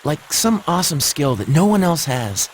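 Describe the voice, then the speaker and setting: A man voicing a brash, moody high school boy in an anime game, talking in casual, expressive slang. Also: normal speed, slight background noise, low-quality recording. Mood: downcast, reflective.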